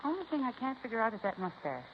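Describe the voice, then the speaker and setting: A woman's voice: on the high side, big pitch swings, fast talker. An American woman playing a chatty, self-assured supermarket cashier in a 1950s radio drama, folksy and emphatic, repeating herself. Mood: confiding, insistent. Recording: muffled, hissy 1950s radio recording.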